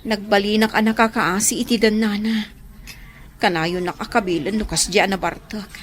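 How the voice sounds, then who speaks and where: An actress playing Mirna, a remorseful former lover pleading to start again, in a radio drama. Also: female voice, mid-range voice, steady tone, moderate pace, lightly acted.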